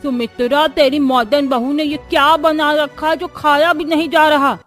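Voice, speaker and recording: A woman's voice: sits high, fairly even intonation, moderate pace, fairly bright tone, soft background music. A woman voicing a stern, traditional old grandmother-in-law who scolds in disapproval, in a cartoon family drama. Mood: complaining.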